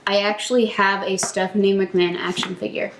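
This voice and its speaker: Young female co-host, average pitch, wry casual banter, echoing room. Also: flat delivery, fairly quick, creaky, noisy background. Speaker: podcast co-host. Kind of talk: casual panel chat about pro wrestling. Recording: roomy, echoing room sound.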